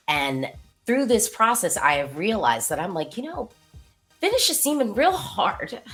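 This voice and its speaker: An American woman interviewing a guest in a casual, chatty way, her questions full of fillers. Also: medium pitch. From United States.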